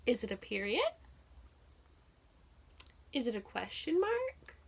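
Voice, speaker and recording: A woman's voice: on the high side, very animated, takes it slowly, creaky, husky. A woman teaching young children through a read-aloud, telling the story expressively and putting simple, encouraging questions to her listeners.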